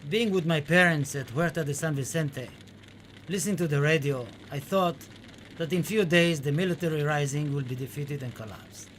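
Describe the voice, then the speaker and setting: Behind clear background noise comes a man playing a haunted Spanish poet in a radio play. His voice sits a little high. He delivers his monologue with deliberate, dramatic, poetic phrasing. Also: a bit bright, lightly acted. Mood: grave, anxious.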